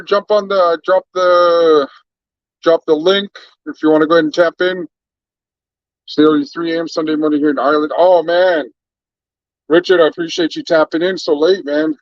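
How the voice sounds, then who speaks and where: A man from Canada chats loosely on a livestream, drifting between topics and calling out viewers by name. His voice sits a little high. The sound is close, dry and muffled, like a cheap stream mic. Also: no echo. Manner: laid-back, rambling, shouts out viewers by name. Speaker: livestream host. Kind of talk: casual livestream chat.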